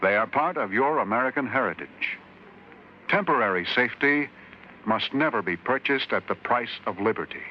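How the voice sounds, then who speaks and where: Male radio announcer, solemn and measured, muffled vintage broadcast. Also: medium pitch, animated. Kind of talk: earnest public service announcement. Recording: dull old radio broadcast, faint hiss.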